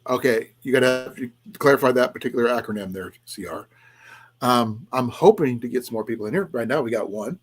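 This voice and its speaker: A man with a slightly raspy voice hosting a casual livestream, talking in a halting, rambling way with restarts and trailing asides as he chats with viewers. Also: mid-range voice. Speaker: livestream host of an outdoors and preparedness channel. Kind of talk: casual livestream chat, reading and answering viewers' comments.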